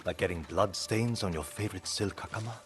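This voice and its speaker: An actor playing a sardonic, roguish raider leader in a video game, with a dry, curt, teasing delivery. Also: male voice, deep voice, a little fast, faint music behind, lightly acted. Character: sardonic, roguish male raider leader, adult, wary and bold. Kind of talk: video game cinematic acting.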